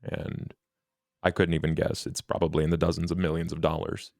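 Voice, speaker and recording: Composed vampire financier for tabletop roleplay, acted by a man; bass register, measured and formal, recorded close and dry. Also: completely flat delivery, creaky, a little mellow, no echo. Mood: guarded.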